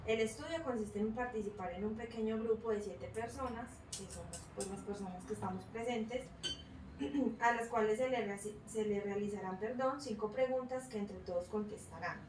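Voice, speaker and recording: A woman's voice: medium pitch, moderate pace. A woman, a psychology student moderating a focus group and reading a consent form, hesitant with fillers and self-corrections. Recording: echoey room, far mic, noisy.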